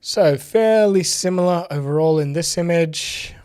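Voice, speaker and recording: A young man with a slightly raspy voice, recovering from illness, hosting a casual live tech stream from a home office. He thinks aloud with many fillers and drops in dry, sarcastic asides. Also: on the high side, a little slow, a little mellow. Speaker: livestream host. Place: home office with bookshelves, indoors.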